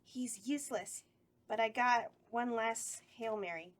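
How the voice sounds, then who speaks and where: Woman doing a brash bawdy horror hostess, high voice, giggly and commanding. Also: a little slow, lightly acted. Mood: urgent. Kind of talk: table read of a horror comedy.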